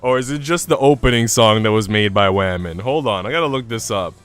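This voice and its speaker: A man's voice: on the low side, brisk, a bit bright, soft background music. A man live streaming a game, chatting casually in a slangy, profane, joking way. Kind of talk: casual chat while streaming a visual novel.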